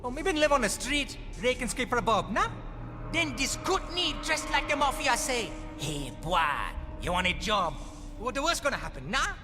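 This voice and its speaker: A man's voice: very high-pitched, big pitch swings, normal speed. A male actor playing a cheeky, defiant street boy with a Guyanese accent and Creole dialect in a video game. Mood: streetwise, defiant. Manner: colloquial Creole dialect, cocky. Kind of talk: video game cutscene acting. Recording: long hall reverb, music behind.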